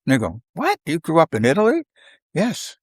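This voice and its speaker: An older man reminisces conversationally, in halting, unhurried phrases, often acting out bits of dialogue. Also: a little high, wildly swooping pitch, breathy edge, no room sound, studio quality. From South Philadelphia, United States. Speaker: podcast guest.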